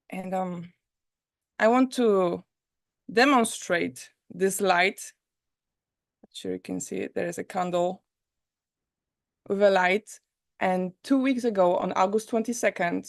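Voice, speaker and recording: A woman from Poland with a Polish accent hosts a live online premiere and guides listeners through a gentle reflection in a soft, inviting way over a video call. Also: medium pitch, no echo, studio quality. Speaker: host.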